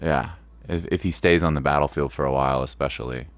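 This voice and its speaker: Low-voiced man casually streaming games. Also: fast talker, no room sound. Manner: informal, rambling, thinking aloud, occasional playful exclamations. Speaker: gaming livestream host. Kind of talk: casual livestream gameplay commentary, chatting with viewers. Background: game music.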